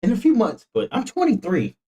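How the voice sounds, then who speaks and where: A man's voice: sits high, sing-song, fairly quick, creaky, darkish tone. A man in his twenties chatting casually on stream, joking around in a slangy way and laughing often. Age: 20s. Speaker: streamer reacting to videos.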